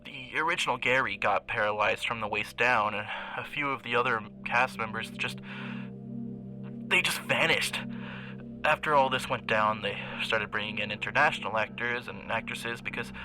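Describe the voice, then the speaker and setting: An actor playing a paranoid, haunted former child star confessing haltingly in an interview, his voice modulated to mask it. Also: mid-range voice, fairly even intonation, low-quality recording. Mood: haunted, grim. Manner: hesitant, uneasy, halting confession. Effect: voice-masking modulation. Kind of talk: acted confessional radio interview. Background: music.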